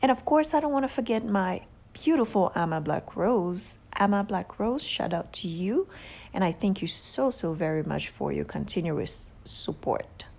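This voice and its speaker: A woman with a Haitian accent hosting a casual vlog, giving gushing shout-outs with drawn-out emphasis and soft whispery asides. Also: fairly low pitch, animated, vocal fry. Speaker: beauty channel host.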